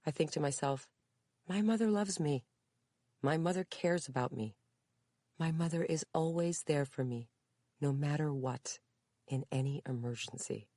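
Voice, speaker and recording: A woman's voice: low-pitched, a little slow, long pauses, mellow, no room sound. A woman reading aloud, voicing an adult daughter who tearfully reassures her mother. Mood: earnest. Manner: emotional, reassuring.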